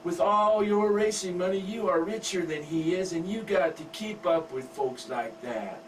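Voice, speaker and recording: A man voicing a spoiled, brash teenage country girl who whines and pleads in a high voice. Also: normal speed, lightly acted. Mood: wheedling. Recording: distant mic with faint hum.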